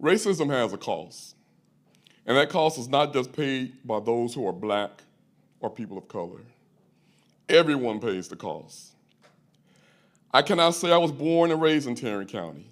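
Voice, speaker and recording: A man's voice: mid-range voice, animated, measured pace, pauses often. A man from Louisiana delivering an emphatic, rhetorical prepared speech as a commenter at a public hearing. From Louisiana, US South. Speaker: public commenter, chapter president of a fraternity. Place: public hearing room in a courthouse.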